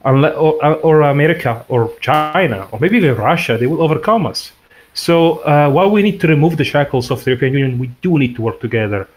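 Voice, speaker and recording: A man co-hosting a podcast, talking in halting phrases and pausing often as he thinks aloud. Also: fairly high pitch. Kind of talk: casual podcast discussion.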